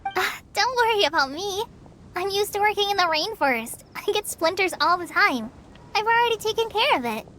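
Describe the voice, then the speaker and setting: A plucky young girl ranger in a video game. The voice is extremely high, with an easygoing, modest and considerate delivery. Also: lively intonation, normal speed, pushed and bright, a little hiss or hum, full character voice. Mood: reassuring. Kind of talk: video game character acting.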